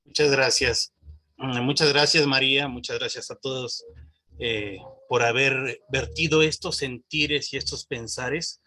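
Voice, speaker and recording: A man's voice: mid-range voice, steady tone, unhurried, pushed and bright. A man from Oaxaca with a Mexican accent, speaking reflectively and off the cuff as a panelist, searching for his words. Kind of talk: reflective spontaneous talk.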